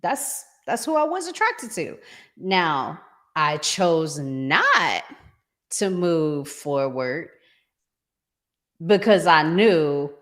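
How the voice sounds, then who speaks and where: A middle-aged American woman hosting a conversational relationship show, speaking in an emphatic, deliberate way with strong opinions. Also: low-pitched, big pitch swings. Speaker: podcast host.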